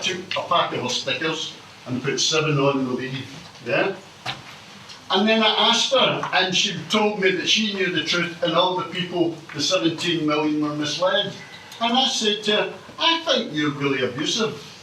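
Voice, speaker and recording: A man's voice: high voice, sharp tone, slight background noise. A man with a Scottish accent asking a long, meandering question from the audience. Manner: meandering, anecdotal, pointed. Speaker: audience member. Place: conference hall. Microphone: distant mic in a room. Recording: very echoey hall from far off.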